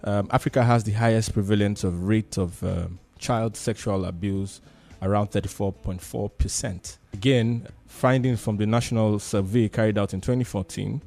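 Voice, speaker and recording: A man with a Nigerian accent speaking in a radio discussion, halting and reflective, often repeating phrases for emphasis. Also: on the low side, steady tone, gravelly, a little mellow. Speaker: discussion panelist.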